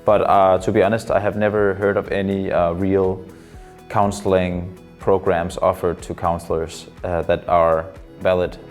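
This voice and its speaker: A Danish man in his twenties, a psychology student and counselor, giving thoughtful interview answers in English as a second language, often pausing with fillers. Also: deep voice, little pitch movement, faint music behind. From Denmark. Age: 20s.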